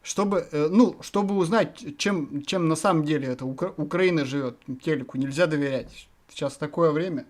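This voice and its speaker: A man's voice: on the high side, moderate pace, hardly any pauses, darkish tone. A man from Russia vlogging from random video chats, thinking aloud to his viewers in a rambling, hesitant way full of filler words.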